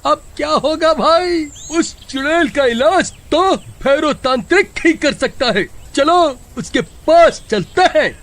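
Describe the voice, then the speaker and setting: A man's voice: extremely high pitch, lively intonation, slow, hardly any pauses, fairly bright tone, slight background noise, full character voice. An actor playing an anxious, easily alarmed village man in a horror cartoon, fretful and full of exclamations. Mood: anxious, urgent. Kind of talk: Hindi horror cartoon voice acting.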